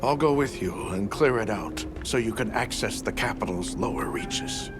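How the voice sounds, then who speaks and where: An actor playing a solemn, protective older keeper and guide in a video game, speaking in a grave, measured way over music. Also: male voice, medium pitch, wildly swooping pitch. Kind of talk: dramatic video game dialogue.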